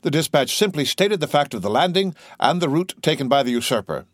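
A man's voice: fairly high pitch, sing-song, brisk, dry recording, voice pushed up. A frightened police minister pleads and stammers his apologies, as a man reads him. The voice stays near the reader's own. It is recorded dry and close. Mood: pleading.

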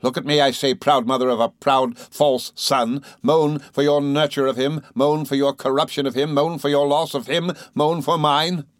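A man voicing a bitter, scarred woman who hurls fierce accusations in a raised, high voice. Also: flat delivery, moderate pace, few pauses, no echo, studio quality, lightly acted. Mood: furious. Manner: shrill, accusing outbursts.

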